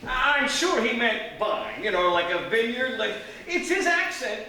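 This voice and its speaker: Casting: blunt, skeptical everyman guest male actor, animated and bright, live radio comedy, very echoey. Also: extremely high pitch, a little slow, slight background noise, exaggerated voice. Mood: incredulous. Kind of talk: live comic radio play. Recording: echoey theatre, far from mic.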